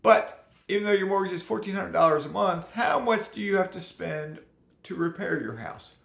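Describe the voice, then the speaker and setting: Hoarse, rambling man, gravelly and fairly high, for a casual home finance vlog in a roomy space. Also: animated, moderate pace, fairly bright tone. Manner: rambling, chatty, laughs at himself. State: rough, hoarse voice today. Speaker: personal finance vlogger. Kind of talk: casual unscripted talk to camera.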